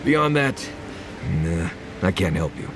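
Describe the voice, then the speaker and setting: A roguish companion in a fantasy video game. He is low-pitched, casual and wry, looking out for his own skin. A clear bed of ambient noise sits behind him. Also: big pitch swings, slow pace, full character voice. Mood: dismissive, impatient. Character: wry, self-preserving adventuring companion, gruff and casual.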